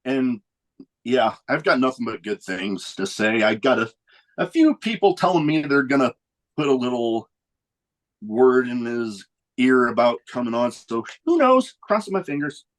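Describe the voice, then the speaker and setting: A man hosts a casual podcast in an easy, rambling manner. His voice sits at an ordinary middle pitch, and he often trails off or restarts as he chats. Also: unhurried, rough recording. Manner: relaxed, rambling, chuckles between thoughts. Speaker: podcast host. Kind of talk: casual chat.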